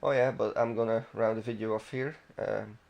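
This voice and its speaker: A man's voice: on the low side, fairly even intonation, normal speed, vocal fry, a little mellow. A man hosting a gaming video, giving casual, unscripted walkthrough commentary in a rambling, self-interrupting manner.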